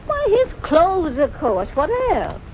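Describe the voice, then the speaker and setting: High, lilting, fussy old grandmother. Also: wildly swooping pitch, takes it slowly, heavily acted. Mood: folksy, dismissive. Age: older. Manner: folksy, dismissive, fussing. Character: kindly, trusting, flustered old grandmother. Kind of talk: 1940s radio drama acting. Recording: muffled, noisy old radio recording.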